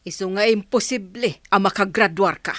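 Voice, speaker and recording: A woman's voice: mid-range voice, normal speed, a bit bright, dry recording, close to an everyday voice. A woman with an Ilocano accent playing a worried, reproachful village mother in a radio drama.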